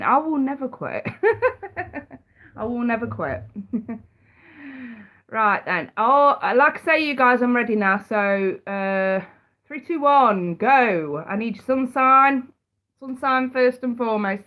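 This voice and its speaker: A woman's voice: mid-range voice, a bit bright. A woman with a head cold, chatting on a live stream and giving tarot readings. She talks in a chatty way, repeats words and calls her viewers sweetheart and darling. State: congested with a cold. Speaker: tarot reader.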